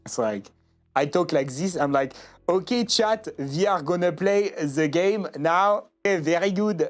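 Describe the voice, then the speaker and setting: Male streamer host, French accent, playful and chatty, upper-middle pitch, light music bed. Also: unhurried. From France. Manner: playful, laughs often. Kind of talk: casual chat.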